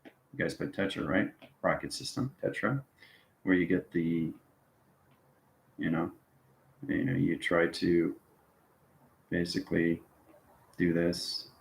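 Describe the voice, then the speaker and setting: Low-voiced man teaching in an echoey room. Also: slow pace, creaky, pauses often, slight background noise. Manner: repetitive, keeps checking with "okay" and "right". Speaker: online teacher of a spiritual live stream. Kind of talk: informal live lesson to viewers. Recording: roomy, echoing room sound.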